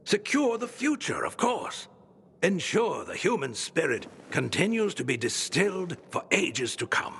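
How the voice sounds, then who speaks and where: A man's voice: high-pitched, big pitch swings, measured pace, creaky, a bit bright, big-room sound, a little hiss or hum, full character voice. An actor playing a grandiose, theatrical old male scholar who speaks in pompous, flowery phrases. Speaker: book-collecting patron.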